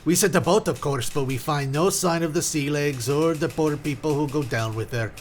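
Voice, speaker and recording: A man's voice: on the high side, moderate pace, soft background music, close to an everyday voice. A man playing a courteous, formal Latin American coast guard captain in a radio drama. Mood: regretful, formal. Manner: polite, formal, helpful. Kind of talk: radio detective drama acting.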